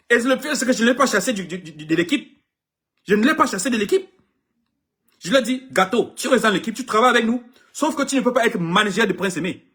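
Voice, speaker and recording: Husky emphatic manager, English native speaking French. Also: male voice, high-pitched, bright, forceful tone. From Cameroon. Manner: emphatic, repeats himself, stumbles over words. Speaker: artist manager. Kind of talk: impassioned public address.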